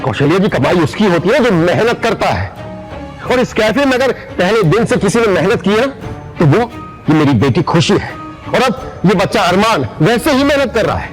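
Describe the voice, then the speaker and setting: A man's voice: sits high, sing-song, normal speed, soft background music, poor audio. A man playing a middle-aged father and henpecked husband, politely protesting in an audio serial drama. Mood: pleading protest. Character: gentle, pleading older father, henpecked husband.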